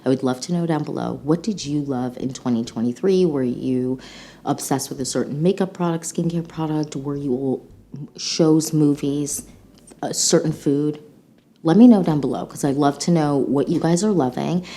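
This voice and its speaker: Casting: female beauty vlogger, low-pitched and nasal from a mild cold, gushing casual delivery, faint rumble behind. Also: moderate pace, dark tone. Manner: gushing, enthusiastic. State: slightly sick, congested. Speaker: beauty channel host. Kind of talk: casual chatty product review to camera.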